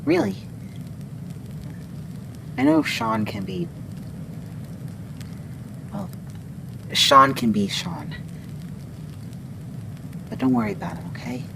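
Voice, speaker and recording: Over a noisy ambience, a female wendigo, played by a woman, speaks slowly with a heavy creak. She is a halting, fiercely protective creature from a roleplay audio drama, friendly yet haunted. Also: big pitch swings, full character voice. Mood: gentle reassurance. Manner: halting, deliberate. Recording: noisy low background ambience.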